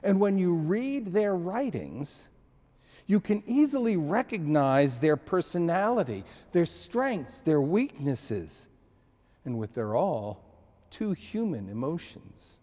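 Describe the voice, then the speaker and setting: Man in his 60s, pastor, slow measured sermon, mellow tone, long church reverb. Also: fairly high pitch, sing-song. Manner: slow, measured preaching with many restarts and emphatic pauses. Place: church sanctuary, indoors.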